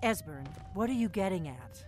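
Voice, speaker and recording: An actress playing a brisk, practical, middle-aged female resistance leader in a fantasy video game, firm and impatient. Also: medium pitch, animated, a little mellow, soft background music, lightly acted. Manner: businesslike, firm.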